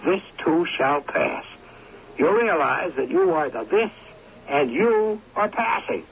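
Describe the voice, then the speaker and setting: A man's voice: a little high, sing-song, slow. A man acting in an old-time radio drama as a weary, bitter former college athlete in his forties, theatrical and brooding. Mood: brooding, haunted. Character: bitter, weary former college sports star turned adman. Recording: muffled, hissy old radio recording.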